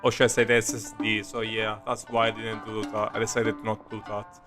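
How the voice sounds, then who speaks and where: A man giving casual live commentary on a video game he streams, speaking in a halting, hesitant way. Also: fairly low pitch, monotone, a bit bright. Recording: game music behind the voice. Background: video game music.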